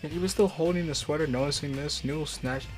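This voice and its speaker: A man's voice: on the high side, fast, poor audio. A man doing a casual read-aloud of amateur fiction, breaking off for slangy, profane, incredulous commentary. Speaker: amateur fanfic reader and commentator. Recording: music behind the voice. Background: music.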